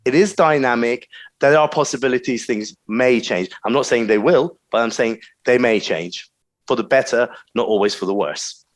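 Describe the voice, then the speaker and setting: A man's voice: medium pitch, normal speed, dry recording. A man from Britain, a guest on an interview, speaking carefully and hedging, with many restarts and fillers. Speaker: guest, crypto project team member. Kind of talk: casual interview talk.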